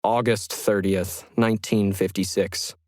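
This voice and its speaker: A man voicing a solitary, methodical 1950s ham radio hobbyist, reading his journal in a measured, reflective way for an audio story. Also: lowish voice, level tone, a little mellow, dry recording, clean studio sound, natural voice. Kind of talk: scripted first-person journal narration.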